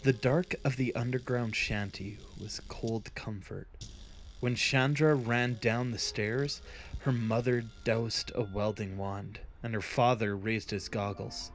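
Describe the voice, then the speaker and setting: Slow, solemn male story narrator. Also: on the low side, fairly even intonation, slight background noise. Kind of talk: scripted dramatic fantasy narration.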